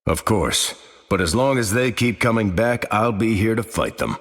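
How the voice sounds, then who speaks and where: An upright veteran leader who gives orders calmly. His voice is deep and a little husky. A long reverb trails each line. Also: moderate pace. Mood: steady. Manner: calm, earnest, commanding. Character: steady, upright male veteran team leader. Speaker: video game hero.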